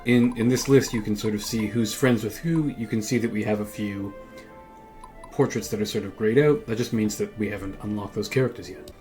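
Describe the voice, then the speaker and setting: A man's voice: fairly low pitch, steady tone, a little fast, darkish tone, soft background music. A man giving casual gameplay commentary as host of a gaming video. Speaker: gameplay video host who voices characters.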